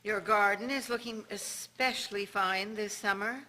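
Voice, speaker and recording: A woman's voice: medium pitch, fairly even intonation, moderate pace, bright, forceful tone, lightly acted. A woman playing a courteous, admiring small-town neighbour in a period radio drama, making polite chatty small talk.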